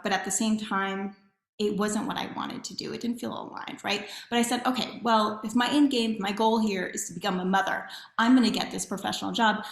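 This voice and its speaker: Woman guest, 30s, from Chile; candid, reflective storyteller, voice in a roomy echo. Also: mid-range voice. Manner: candid, reflective, many "um" and "right?". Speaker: podcast guest, community builder. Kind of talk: personal storytelling interview.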